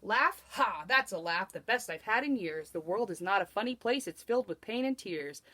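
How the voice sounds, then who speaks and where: A woman's voice: medium pitch, expressive, normal speed, sharp tone, no room sound. Cynical storybook businessman, acted by a woman: scornful, emphatic, dismissive and bitter.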